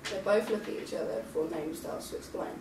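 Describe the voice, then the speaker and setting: A woman's voice: fairly low pitch, quick pace. A woman reading stage directions as scripted narration at a table read, recorded from a distance in a noisy, echoey room.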